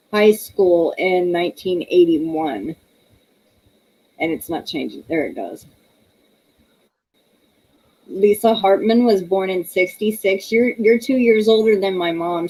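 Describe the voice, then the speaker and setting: A woman's voice: on the low side, lively intonation, slow pace, pauses often, a little mellow, rough recording. A woman, hosting a casual livestream, chatty and laughing often as she reads viewer comments aloud. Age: 30s. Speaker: livestream host.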